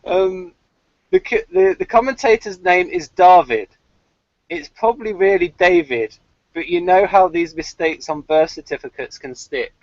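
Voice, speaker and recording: A man's voice: sits high, normal speed, no room sound, lo-fi sound. A man hosting a casual review, reading his notes aloud with dry, jokey asides. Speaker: podcast host reading out his episode review.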